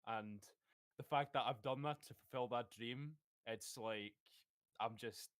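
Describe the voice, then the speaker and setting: A man's voice: mid-range voice, measured pace, clean tone, no room sound, studio quality. A man who acts on stage and in voice work, talking earnestly and gushing as he searches for words. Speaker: voice actor and stage actor. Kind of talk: casual livestream chat.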